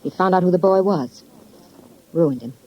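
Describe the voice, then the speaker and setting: A woman's voice: on the low side, moderate pace, natural voice. Actress as cool, bitter American woman, vintage radio. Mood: bitter, mocking. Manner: blunt, coolly mocking. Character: cool, bitter young woman, callous socialite suspect. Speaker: actress playing a murder suspect. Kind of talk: 1950s American radio crime drama acting. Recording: muffled vintage 1950s radio recording.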